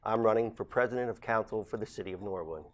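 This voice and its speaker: Earnest man, lowish voice. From Ohio, USA. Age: late 40s. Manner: measured, earnest, formal. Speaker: council president candidate. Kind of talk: campaign statement to camera.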